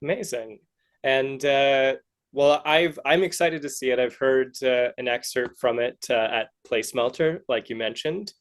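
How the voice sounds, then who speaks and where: A man hosting a casual interview podcast, speaking haltingly and circling back to rephrase his questions. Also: medium pitch. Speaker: podcast host interviewing artists.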